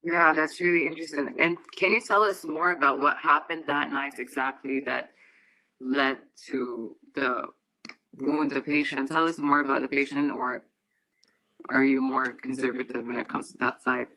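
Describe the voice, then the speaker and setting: Bright, dry-voiced young woman moderator. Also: very deep voice, fairly even intonation, no echo, low-quality recording. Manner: earnest, slightly halting questions. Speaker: podcast moderator, medical student. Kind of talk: scripted intro and interview questions.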